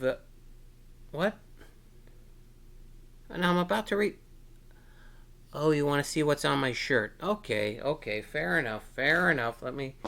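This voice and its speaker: A man hosts a children's storytime in a casual, playful chat. He speaks slowly at a medium pitch, rambling a little as he goes. His manner is encouraging and aimed straight at young listeners. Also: fairly bright tone.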